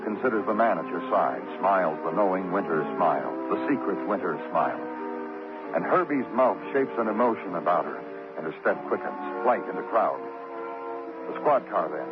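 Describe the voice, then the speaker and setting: An actor playing a hardened, weary New York homicide detective in an old radio crime drama, clipped and brooding. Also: male voice, low-pitched. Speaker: lead actor and narrator. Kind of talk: 1950s radio crime noir acting. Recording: muffled old radio recording.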